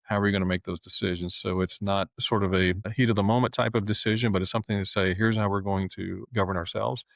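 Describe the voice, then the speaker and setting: A man giving an explanatory business talk as an advisor, speaking in a measured, articulate way. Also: fairly low pitch, fairly even intonation, gravelly, dry recording. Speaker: family office advisor.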